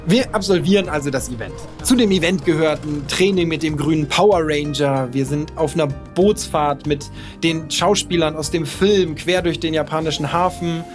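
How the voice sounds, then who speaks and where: A man in his thirties from northern Germany tells a personal story with frank, self-deprecating candor. His voice sits a little higher than most men's, and soft music plays behind him. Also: moderate pace. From Lower Saxony, Germany. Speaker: podcast narrator.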